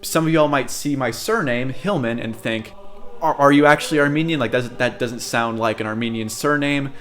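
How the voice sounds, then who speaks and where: A man's voice: medium pitch, moderate pace, fairly bright tone, soft background music. A young American man introducing his channel in a chatty, earnest way, with many fillers and asides. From United States. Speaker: channel host. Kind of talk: casual direct-to-camera introduction.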